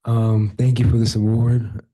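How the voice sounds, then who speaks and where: Young man, raspy, very mellow and dark, monotone, hesitant, short thank-you speech. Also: on the low side, a little slow. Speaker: student award recipient. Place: school board meeting room, speaking at a microphone.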